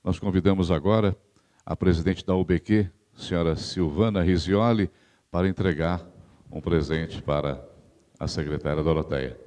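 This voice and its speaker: Deep-voiced man formally announcing an event. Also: moderate pace, warm tone. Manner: formal, ceremonious. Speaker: master of ceremonies. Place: auditorium stage at a podium. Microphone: handheld microphone.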